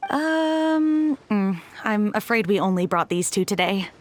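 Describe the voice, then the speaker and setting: A woman's voice: medium pitch, lively intonation, measured pace, a little hiss or hum, close to an everyday voice. A woman playing a dutiful, apologetic young film crew member in an anime game. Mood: hesitant. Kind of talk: anime video game voice acting.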